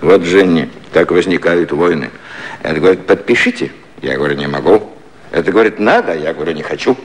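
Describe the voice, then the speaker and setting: An actor playing a courteous, sly British prime minister in a satirical 1950s radio play, with leisurely, ironic phrasing. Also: male voice, low voice, expressive, brisk, slightly rough. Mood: wry evasion.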